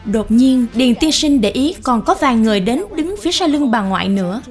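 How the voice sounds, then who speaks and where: A woman giving scripted narration of a moral animated story. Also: on the high side, brisk. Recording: music behind the voice. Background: music.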